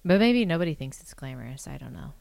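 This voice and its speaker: A woman's voice: rumbling low, very animated, quick pace, vocal fry, darkish tone, no echo. A woman in her thirties, a podcast guest, chatting casually in a dry, joking, matter-of-fact way. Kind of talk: casual chat.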